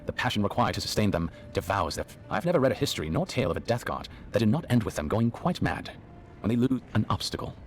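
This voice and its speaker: An actor playing a learned, earnest archivist who explains lore precisely in a fantasy video game. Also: male voice, on the low side, sing-song, fast. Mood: explanatory. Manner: bookish, precise. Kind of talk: video game dialogue, expository.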